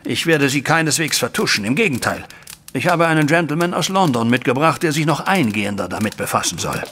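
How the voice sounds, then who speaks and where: An actor playing a stern, proud older banker with an emphatic, formal manner, in a German audio drama. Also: male voice, medium pitch, lively intonation, a little fast, fairly bright tone, a little hiss or hum, close to an everyday voice.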